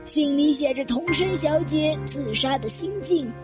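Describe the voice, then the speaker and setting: A woman's voice: sits high, unhurried, over music. A woman playing a composed, grave older lady in a detective audio drama. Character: composed older widow, Madam Honma, recounting a suicide.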